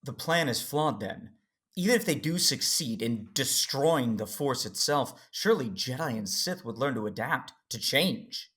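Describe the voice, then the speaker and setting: A man playing an earnest, worried Jedi father who tells his story in serious, deliberate audio drama narration. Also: mid-range voice, lively intonation, fairly bright tone. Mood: questioning. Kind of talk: first-person audio drama narration and dialogue.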